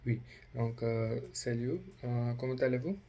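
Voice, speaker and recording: A man with a non-native accent practising a polite role-play inquiry as a language learner, speaking haltingly with many hesitations. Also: medium pitch, steady tone, mellow, highs cut off. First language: not French.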